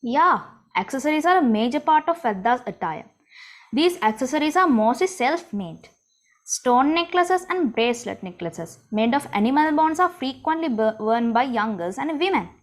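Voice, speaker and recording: A woman giving a prepared class presentation, reading clearly and steadily. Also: sits high, expressive. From Sri Lanka. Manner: reads from a script, clear and measured. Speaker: student presenter.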